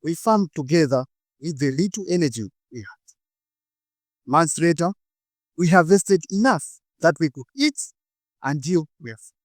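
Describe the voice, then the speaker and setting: A man from northern Malawi with a Malawian accent, giving a rehearsed inspirational speech in short, deliberate phrases with dramatic pauses. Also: fairly high pitch, expressive, no echo, studio quality. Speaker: speaker giving a prepared talk. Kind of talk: rehearsed inspirational public speech with personal storytelling.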